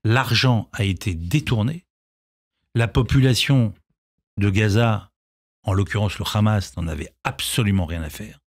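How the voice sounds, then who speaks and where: A middle-aged man with a low voice is a guest on a radio show. He talks in halting bursts, restarting phrases before driving a point home with emphasis. The sound is dry and close, like a studio mic. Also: no echo. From Israel, grew up in France. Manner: hesitant, many restarts, then emphatic. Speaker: film director and actor, guest. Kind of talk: radio interview answers.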